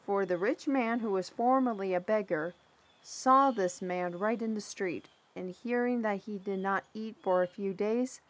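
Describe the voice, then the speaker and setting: A woman playing a plain-spoken mentor in a fantasy audio drama, telling things in a folksy, measured way with dramatic emphasis. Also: mid-range voice, lightly acted. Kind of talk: fantasy audio roleplay, storytelling.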